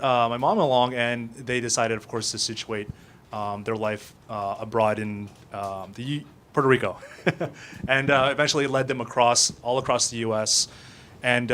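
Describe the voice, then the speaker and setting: A man's voice: mid-range voice, fairly bright tone, slight background noise. A young man whose first language is Filipino, telling his personal story at a speaker panel with many fillers and restarts. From United States. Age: young adult.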